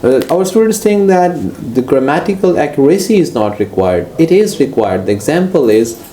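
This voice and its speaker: A man's voice: on the high side, expressive, moderate pace, warm tone. A Pakistani man whose first language is Urdu, speaking English with a Pakistani accent, making points hesitantly with "uh" fillers. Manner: hesitant, with frequent "uh" fillers, addresses the other as sir. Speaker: co-host and former student. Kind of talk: discussion with a teacher. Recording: roomy echo, faint ambience.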